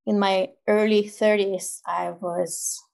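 A woman in her forties from Ukraine with a Ukrainian accent, telling her story as a podcast guest in a reflective way with soft laughs and fillers. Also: medium pitch, little pitch movement, takes it slowly, creaky. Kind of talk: casual interview storytelling.

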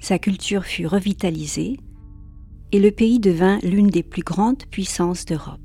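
A woman reading a calm, scripted narration for a sleep podcast. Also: mid-range voice, normal speed, breathy edge, soft background music.

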